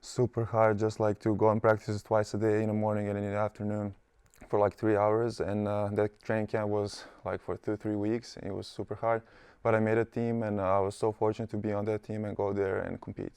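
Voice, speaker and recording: Young male athlete, Serbian accent, low monotone, halting speech with lots of "uh". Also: clean tone, no room sound. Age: young adult. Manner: hesitant, many "uh" and "like" fillers. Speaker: college basketball player, guest. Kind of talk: casual interview answers. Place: studio set.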